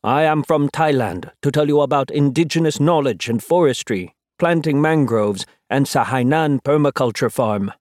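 A man representative announcing his country's projects in a steady, formal scripted reading. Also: medium pitch, normal speed, hardly any pauses, no room sound, studio quality. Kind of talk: scripted roll-call reading.

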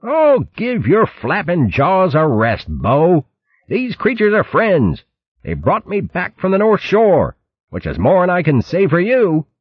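A man voicing a cantankerous old male mouse who speaks in a quavery voice. The sound is husky and comes through a dull lo-fi audiobook recording. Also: high voice, huge pitch swings, unhurried, dry recording, exaggerated voice. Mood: bickering.

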